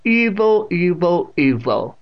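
A man voicing a stern, eerie deaf old woman of at least eighty in a storybook reading, with slow, drawn-out, commanding speech. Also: fairly high pitch, animated, dull audio, pitched above the performer's own voice. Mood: amused, sinister.

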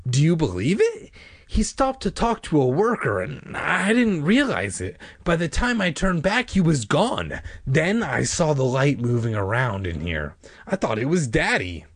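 A man's voice: on the high side, huge pitch swings, measured pace, slightly rough, dry recording, clean studio sound, pitched above the performer's own voice, exaggerated voice. A man voicing a bossy, impatient young girl who scolds like a know-it-all in a children's storybook. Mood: scolding, impatient.

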